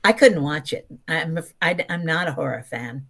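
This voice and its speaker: An older woman, around her seventies, speaks in a lowish voice as a podcast guest. She tells anecdotes in a casual, emphatic way, slipping into quoted dialogue as she goes. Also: expressive, moderate pace, gravelly, fairly bright tone.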